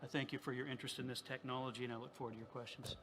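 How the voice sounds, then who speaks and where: A man's voice: medium pitch, flat delivery, very fast, heavy background noise. A man giving prepared, formal remarks on technology to a government council, reading steadily as an industry executive. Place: large museum hall. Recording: long reverb in a large hall.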